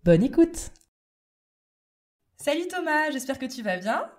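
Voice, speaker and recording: A woman's voice: sits high, very animated, unhurried. Woman podcast host, friendly and chatty. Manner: friendly, hesitant with fillers. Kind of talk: scripted intro then casual interview.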